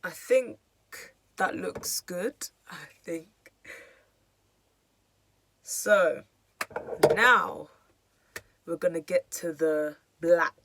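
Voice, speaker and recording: Hoarse woman, unhurried chatty delivery, lots of fillers, makeup vlogger. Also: lowish voice, a bit bright, slight background noise. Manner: chatty, filler-heavy, self-deprecating. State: hoarse, losing her voice. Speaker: beauty vlogger. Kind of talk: casual makeup tutorial talk.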